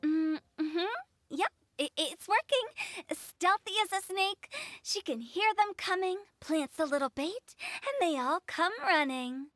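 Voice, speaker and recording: A woman's voice: extremely high pitch, animated, slow, a bit bright, no echo, faint music behind, full character voice. A woman voicing a mischievous, playful teenage girl in a video game, coy and theatrical. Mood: sly, theatrical. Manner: coy, theatrical, sing-song.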